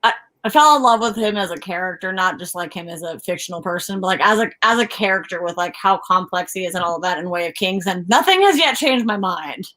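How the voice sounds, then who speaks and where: A woman's voice: lowish voice, animated, brisk, few pauses, a bit bright. A woman co-hosting a book livestream, chatting casually in a rambling, informal way. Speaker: co-host of a book discussion livestream.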